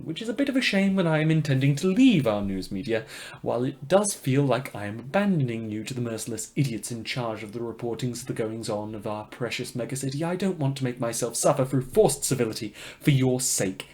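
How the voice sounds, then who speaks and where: A man's voice: medium pitch, darkish tone, close to an everyday voice. A man playing a polite but murderous radio host in a fiction podcast, wordy and theatrical, with a genteel, mock-apologetic manner. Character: polite, murderous, inhuman male radio host, darkly cheerful. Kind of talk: scripted fiction podcast monologue as radio broadcast.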